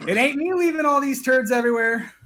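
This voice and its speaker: A man's voice: very high-pitched, fast talker, bright, forceful tone. A man acting an exasperated, fussy neighbor, complaining and sarcastic, in a comedic play. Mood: annoyed, accusing.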